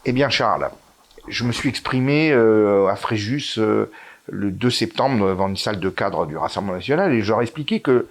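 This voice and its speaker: Hesitant, animated Italian-born man. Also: on the low side, moderate pace. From Italy. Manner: hesitant, frequent fillers and restarts, emphatic rises. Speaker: interview guest. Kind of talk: political interview conversation.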